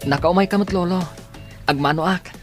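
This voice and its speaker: A man's voice: high-pitched, brisk, soft background music, lightly acted. A man playing a polite young student and grandson in a radio drama, easygoing, with an Ilocano accent. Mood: respectful. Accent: Ilocano Filipino.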